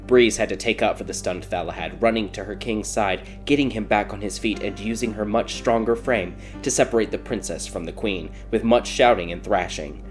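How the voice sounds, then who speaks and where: A man's voice: on the low side, brisk, hardly any pauses, faint music behind. A man reading a scripted fairy tale as a dramatic audiobook narrator.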